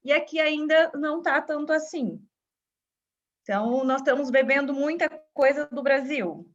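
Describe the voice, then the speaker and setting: A woman's voice: high voice, normal speed. A woman from Brazil, with a Brazilian accent mixed with European Portuguese touches, chatting casually on a video call as a community organizer. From Brazil, São Paulo countryside. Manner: chatty, fills with "né" and "assim". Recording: dull lo-fi video call audio.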